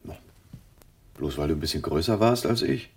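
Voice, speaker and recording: An actor playing a gentle private detective comforting an old friend in a German audio drama, soft and sparing with words. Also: male voice, very deep voice, lively intonation, breathy edge, heavily acted. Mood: gently teasing. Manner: soft, sparse, unhurried.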